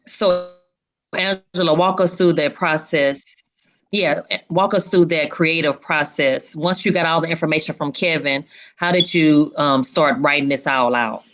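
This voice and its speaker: A woman's voice: sits low, a little slow, fairly bright tone. A woman hosting an interview, asking questions in a conversational way. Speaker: interviewer, host.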